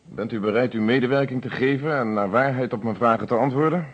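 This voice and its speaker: An actor playing a methodical police inspector in a radio drama, questioning formally and with authority in a husky voice, on an old recording. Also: male voice, mid-range voice, fast talker, muffled recording, close to an everyday voice. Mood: stern, official.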